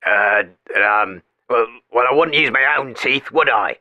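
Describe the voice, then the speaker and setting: A man's voice: mid-range voice, measured pace, no room sound, lo-fi sound, close to an everyday voice. A man voicing a dim-witted, hesitant working-class caller in a comedy radio sketch. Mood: dopey.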